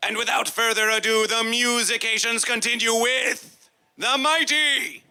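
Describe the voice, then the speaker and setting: A man working as a festival master of ceremonies, making bombastic, mock-ceremonial announcements in a gravelly voice and chanting repeated phrases. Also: very high voice, fairly even intonation, unhurried, bright, forceful tone. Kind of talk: theatrical chanted stage announcements. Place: live music festival stage. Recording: music behind the voice. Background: music.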